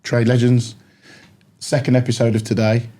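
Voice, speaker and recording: A husky-voiced British man, tired from lack of sleep, hosting a casual trade podcast. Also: mid-range voice, flat delivery, normal speed, dark tone, soft background music. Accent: British English. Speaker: podcast host.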